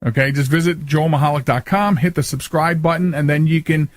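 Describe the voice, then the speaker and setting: Male host, slightly high voice, dry close sound, informal and rambling. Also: fairly quick, dry recording. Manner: informal, repeats and rephrases himself. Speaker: talk show host. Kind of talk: casual solo radio show chat.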